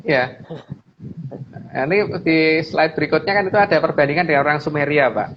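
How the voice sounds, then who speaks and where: A man's voice: on the high side, fairly quick. A man with an Indonesian accent explaining slides informally, emphatically repeating his phrases. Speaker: guest speaker explaining slides. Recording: dull lo-fi audio with background noise.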